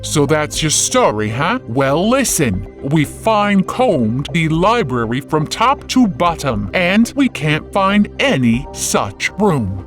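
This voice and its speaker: A man's voice: on the high side, very animated, slow, few pauses, fairly bright tone, pitched below the performer's own voice, full character voice. A man acts out a sceptical police captain from an old-time radio thriller. He questions bluntly in slangy phrases with very mobile intonation. Light music runs behind him. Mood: sceptical questioning.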